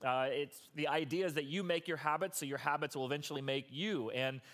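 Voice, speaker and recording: A hoarse, middle-aged pastor preaches in a loose, chatty way, wandering into side stories. His voice is fairly high for a man, and the strain is plain in it. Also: fairly quick, a bit bright. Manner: casual, rambling asides. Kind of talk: sermon.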